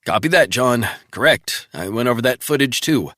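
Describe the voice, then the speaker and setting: A man voicing a brisk male intelligence analyst reporting findings over the phone, in a dry audiobook reading. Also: mid-range voice, lively intonation, fairly bright tone, no echo, voice pushed up. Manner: brisk, rapid, matter-of-fact reporting.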